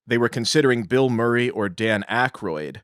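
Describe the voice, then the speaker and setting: A man co-hosting a podcast, talking through film history in a measured, explanatory way. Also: mid-range voice, lively intonation, fairly bright tone, no room sound, clean studio sound. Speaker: podcast co-host. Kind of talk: informed film-history discussion.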